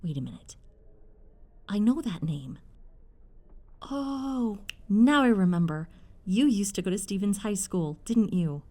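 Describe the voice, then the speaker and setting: An actress playing a doting, excitable middle-aged mother who is quick to scold, in an audio roleplay. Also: mid-range voice. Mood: surprised, recalling.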